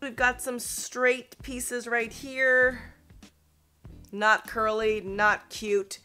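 A woman's voice: a little high, level tone, very slow, bright, forceful tone. A woman in her thirties giving a chatty, upbeat hair tutorial to the camera in a small studio. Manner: chatty, upbeat, coaching viewers. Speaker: beauty vlogger. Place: small indoor studio with grey backdrop.

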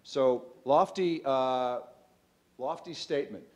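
A man around forty giving an informal startup pitch into a stage microphone, conversational and emphatic, speaking directly to his audience. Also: slow pace. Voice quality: loud voice. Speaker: startup CEO and co-founder presenting. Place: auditorium stage. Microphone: microphone on a lectern stand.

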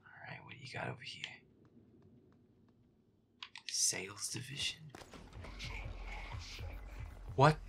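Casting: male actor as grumbling, sweary werewolf boyfriend for gameplay roleplay audio; slow, whispery, creaky delivery. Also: medium pitch, bright, forceful tone, full character voice. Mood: annoyed, uneasy. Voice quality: breathy, whispery, gravelly. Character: feisty, foul-mouthed werewolf boyfriend, easily spooked, grumbling. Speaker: video game player.

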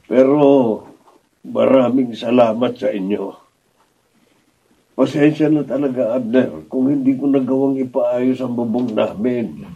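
Brief: humble, sheepish Filipino dad, husky, slightly muffled radio drama recording. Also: male voice, mid-range voice, measured pace, long pauses, warm tone. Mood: apologetic. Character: sheepish, humble father and poor neighbour. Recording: slightly muffled studio camera audio.

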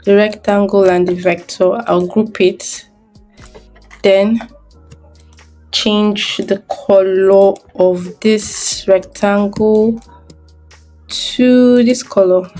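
Woman tutor walking thru her design work, unhurried, mid pitch, thinking out loud. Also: steady tone, soft background music. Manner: thinking aloud step by step while working. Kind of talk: screen-recorded software tutorial.

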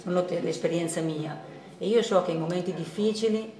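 A woman's voice: on the low side, a little fast. A middle-aged woman presenting her own project in earnest, repeating phrases for emphasis. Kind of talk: personal presentation speech. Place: office room with computers. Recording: echoey room from far off, with crowd.

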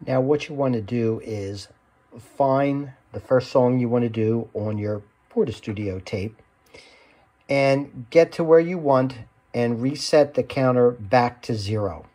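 A man's voice: mid-range voice, a little slow, warm tone. A man narrating an instructional tutorial as its host, explaining things step by step in a plainspoken way.

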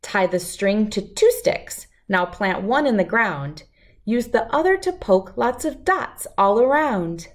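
A middle-aged woman reading a rhyming picture book aloud to children in an expressive, deliberate, lilting way. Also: medium pitch. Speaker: children's read-aloud host.

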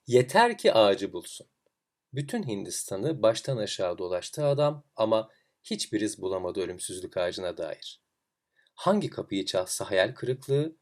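A man narrating a literary fairy tale in a theatrical, expressive way with deliberate phrasing. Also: fairly low pitch. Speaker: storyteller, host of a tale series.

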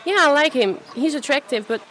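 A woman's voice: sits high, a little fast, noisy background, natural voice. A woman playing a friendly, chatty, self-doubting young woman in an amateur radio play, with a hesitant, natural delivery. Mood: chatty, embarrassed.

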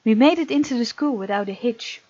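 A woman hosting a let's play, reading game text aloud with playful, expressive asides. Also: fairly high pitch, brisk, dull audio. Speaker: gaming video host.

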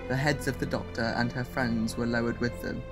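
A man's voice: medium pitch, level tone, a little fast, music in the background, natural voice. A man narrating a scripted science fiction audio drama as its storyteller. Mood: measured storytelling.

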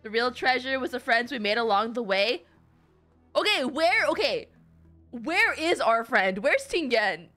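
A woman's voice: on the high side, big pitch swings, unhurried, bright, forceful tone, soft background music. A young woman doing casual live game commentary in a playful, slangy, dramatic way. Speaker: streamer.

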